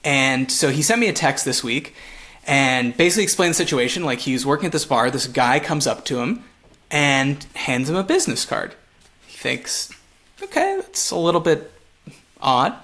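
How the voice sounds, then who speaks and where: A man's voice: mid-range voice, very animated, moderate pace, fairly bright tone. A man from Montreal chatting casually and jokingly as a podcast co-host, swearing now and then. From Montreal, Canada. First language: English. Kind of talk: casual comedic podcast chat.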